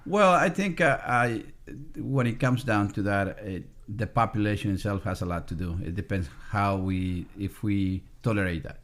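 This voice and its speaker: A man with a low voice and a Salvadoran accent speaks as a guest in a relaxed podcast interview. His English comes as a second language. He pauses often and fills the gaps with "um" and "uh". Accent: Salvadoran Spanish. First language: Spanish. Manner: halting, many fillers and restarts. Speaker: restaurant owner guest. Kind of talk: casual interview.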